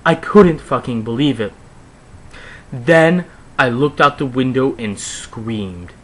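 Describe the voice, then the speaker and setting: A man's voice: mid-range voice, takes it slowly, a bit bright. A man doing a wry, theatrical dramatic reading of a comically bad story, with mocking asides as narrator. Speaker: narrator reading fan fiction aloud.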